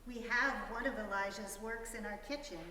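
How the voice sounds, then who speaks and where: A woman playing a young boy who narrates a storybook in a measured, descriptive manner. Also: fairly high pitch, pushed and bright, a little hiss or hum. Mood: earnest describing. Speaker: reader in a dramatic story reading. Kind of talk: dramatic storybook reading. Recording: very echoey hall, distant, faint ambience.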